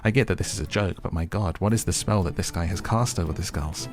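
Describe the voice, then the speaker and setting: Low voiced guy doing a wry tv recap, kinda exasperated, bit of music behind. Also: fast talker. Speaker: recap host and reviewer. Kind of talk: scripted narration, opinionated show recap.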